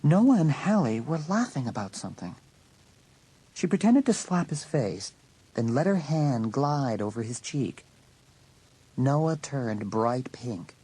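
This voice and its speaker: Husky male story narrator. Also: medium pitch, very animated, slow, dark tone, low-quality recording. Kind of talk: scripted audiobook narration.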